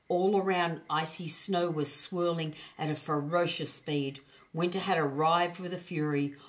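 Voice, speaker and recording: A woman narrating a children's storybook as an expressive storyteller. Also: sits low.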